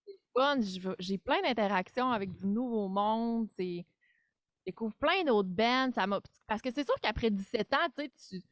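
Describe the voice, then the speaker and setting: A woman with a Québécois accent, chatting casually as a podcast guest, animated and self-deprecating, full of fillers. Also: a little high, normal speed, dry recording. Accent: Québécois French. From Quebec. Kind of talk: casual chat.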